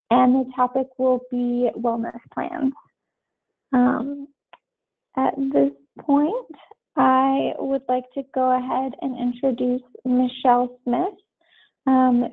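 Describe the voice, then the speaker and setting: A woman's voice: high-pitched, gravelly, slightly rough. A woman hosting a webinar and making announcements, with many ums. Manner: filler-heavy, many ums. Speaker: webinar host. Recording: tinny phone-line audio.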